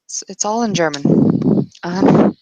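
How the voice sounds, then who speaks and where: A woman improvising narration for a tabletop roleplaying game in a halting, tentative way. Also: mid-range voice, lo-fi sound, heavy background noise. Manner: halting, tentative, piecing sentences together.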